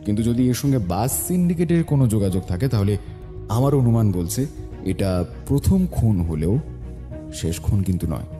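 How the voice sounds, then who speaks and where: An actor playing a shrewd, self-assured private detective in an audio drama, reasoning aloud in a measured, dry way with thoughtful hums. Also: male voice, lowish voice, animated, warm tone, soft background music, natural voice. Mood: dry, probing.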